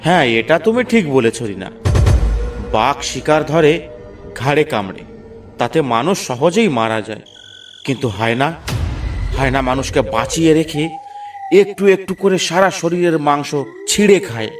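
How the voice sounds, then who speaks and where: A man plays a stubborn, dutiful cartoon villager and husband, somewhat high in pitch. He speaks firmly and earnestly, slightly away from the mic over soft background music. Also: animated, moderate pace, long pauses, distant mic, close to an everyday voice. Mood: grave. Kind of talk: cartoon voice acting.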